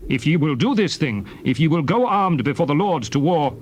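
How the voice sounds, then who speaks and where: A man's voice: on the high side, animated, normal speed, close to an everyday voice. An actor playing a stern, indignant prophet and leader, declaiming solemnly in a dramatized King James Bible. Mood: solemn command. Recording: noisy old cassette tape.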